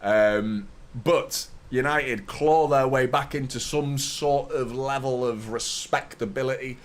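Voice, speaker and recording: Man, 30s, slightly hoarse, opinionated fan host, mid-pitched voice. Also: takes it slowly. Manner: opinionated, ranting, greets viewers by name. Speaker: host of a football fan watchalong. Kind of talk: live stream chat and commentary.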